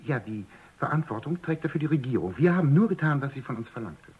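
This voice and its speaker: A man's voice: medium pitch, animated, fast, breathy edge, phone-quality sound. A man playing a diplomatic, evasive company executive who placates and obliges in a German radio drama, on a thin radio recording. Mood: defensive, placating.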